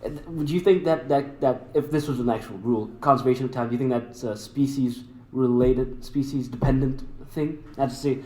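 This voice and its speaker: A man's voice: mid-range voice, steady tone, moderate pace, few pauses, darkish tone. Hesitant man chatting in an echoey room. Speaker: podcast co-host. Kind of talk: casual chat. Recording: echoey room with background noise.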